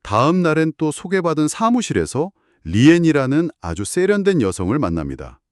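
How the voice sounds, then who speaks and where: Guy narrating a story for a radio book show, acts out the quotes, pitch all over the place. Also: wildly swooping pitch, brisk, dry recording, clean studio sound. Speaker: co-host retelling a listener story.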